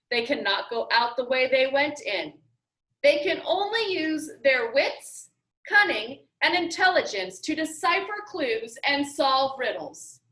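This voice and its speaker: A woman voicing an eccentric, showy billionaire game-maker in a storybook reading, announcing grandly with drawn-out emphasis. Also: sits high, fairly bright tone, exaggerated voice. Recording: roomy echo.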